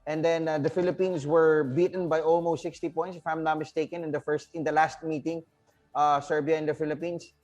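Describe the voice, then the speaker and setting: Chatty male sports host, higher voice. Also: flat delivery, brisk, a little mellow, soft background music. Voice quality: chatty, rambling questions with "if I'm not mistaken". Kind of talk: casual interview.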